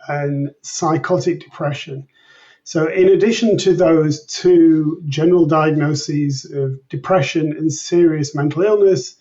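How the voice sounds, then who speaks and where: A man giving measured, precise, methodical explanations as a researcher being interviewed. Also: fairly high pitch, dark tone, recorded from a distance, lo-fi sound.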